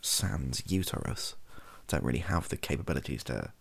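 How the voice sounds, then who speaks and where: A young man with an extremely low, husky voice speaks softly in an improvised ramble. His intonation swings around freely as he hesitates, backtracks and makes wry asides. He sounds close to the microphone in a small home room. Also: lively intonation, moderate pace. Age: young adult. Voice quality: husky, gravelly. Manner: hesitant, self-correcting, wry. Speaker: ASMR comedy video creator. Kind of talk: improvised soft-spoken rambling to camera. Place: small home bedroom office.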